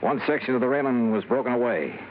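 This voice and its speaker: A man's voice: mid-range voice, quick pace, a little hiss or hum, close to an everyday voice. An actor playing a hard-boiled, world-weary male insurance investigator in radio noir, speaking in a clipped, dry, matter-of-fact way. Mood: grim narration. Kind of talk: 1950s radio noir acting and narration. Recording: narrow, tinny old radio broadcast with music.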